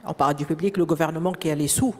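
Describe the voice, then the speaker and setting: A French-speaking woman speaks at a professional development session in a somewhat low voice. Her talk is full of fillers and restarts as she works out each point. The sound carries a long echo from the hall around her. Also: fast, darkish tone. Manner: frequent fillers and repeated words. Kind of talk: conference presentation. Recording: echoey hall.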